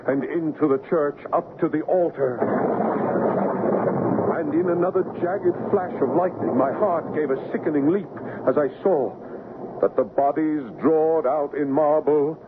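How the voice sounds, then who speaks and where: An actor playing an anxious American husband, a middle-aged writer telling his story in measured first person in a 1970s radio drama. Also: a little high, natural voice. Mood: dread. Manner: measured storytelling with hesitant, solicitous dialogue. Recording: noisy, phone-like 1970s radio broadcast.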